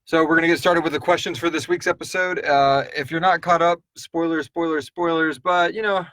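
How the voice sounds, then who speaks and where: A man's voice: a little high, steady tone, a little fast, pushed and bright, dry recording. Casual male live-stream host, closely recorded. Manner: informal, rambling, chummy with viewers. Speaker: podcast host answering listener questions.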